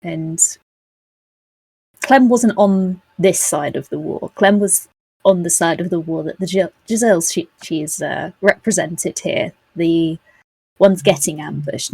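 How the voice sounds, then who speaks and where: Husky woman, close and dry. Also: fairly low pitch, measured pace, darkish tone, no room sound, poor audio. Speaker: podcast player. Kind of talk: casual conversational recap.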